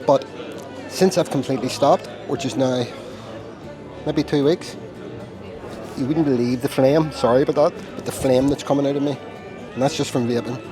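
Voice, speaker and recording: An Irish man narrates a casual walking tour as he goes, chatty and rambling, with self-deprecating asides. He sounds a little chesty. Music plays clearly underneath his voice. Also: mid-range voice, fairly even intonation, normal speed, long pauses. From Ireland. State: chesty, with a tickly cough. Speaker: travel vlogger. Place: outdoors walking through narrow old-town streets.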